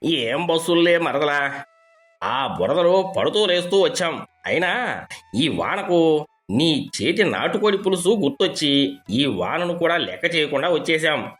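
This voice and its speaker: A man's voice: on the high side, sing-song, measured pace, fairly bright tone, soft background music. A man playing a jovial, food-loving village brother-in-law in a storybook tale.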